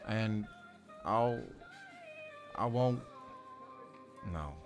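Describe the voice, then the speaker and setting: An actor playing a wry young ranch-hand newcomer in an audio drama, rambling and hesitant in a folksy way. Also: male voice, on the low side, animated, very slow, faint music behind.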